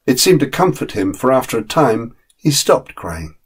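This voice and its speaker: A man voicing a loyal, plain-spoken dog who narrates a storybook tale in a measured, wistful, husky way. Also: mid-range voice, very animated. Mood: wistful, earnest. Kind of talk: dramatic short story reading.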